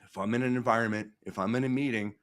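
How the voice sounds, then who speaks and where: A middle-aged American man speaking as a podcast guest, telling stories conversationally in an earnest, emphatic way, repeating phrases and pausing for effect. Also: mid-range voice, steady tone, clear, resonant tone, no room sound. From Pittsburgh, United States. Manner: earnest, emphatic, with repetition and dramatic pauses.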